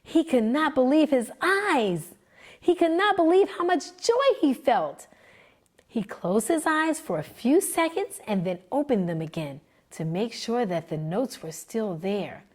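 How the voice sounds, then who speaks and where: A woman reads a children's picture book aloud at an unhurried pace, gentle and expressive. Her voice is soft and breathy, and her pitch rises and falls in a lively way. Faint music plays behind her. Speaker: children's librarian reading aloud.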